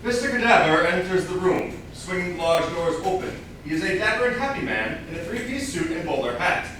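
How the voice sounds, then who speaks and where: A man with a fairly high voice reads scripted stage directions as narrator. The recording is distant, with heavy echo and clear noise. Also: sing-song, a little fast, a bit bright. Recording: very echoey room, distant mic, noise.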